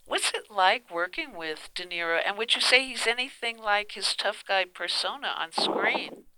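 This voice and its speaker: A woman's voice: medium pitch, animated, moderate pace, very noisy. A woman hosting a radio interview, asking short questions and murmuring along. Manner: brief prompts and encouraging murmurs. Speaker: radio host.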